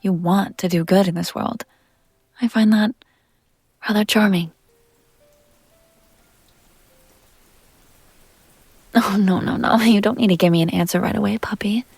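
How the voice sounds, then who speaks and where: Woman playing a breathy, seductive vampire heiress. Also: medium pitch, fairly even intonation, no room sound. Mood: tender, coaxing. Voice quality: soft, breathy, often dropping to a whisper. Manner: teasing, breathy asides, genteel and deliberate phrasing. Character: seductive, refined vampire heiress, coy and possessive. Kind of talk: intimate roleplay audio drama addressed to the listener.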